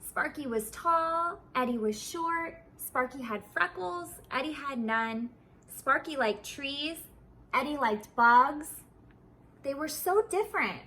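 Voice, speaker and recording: Female storybook reader, bright and unhurried, expressive sing-song delivery for children. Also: sits high.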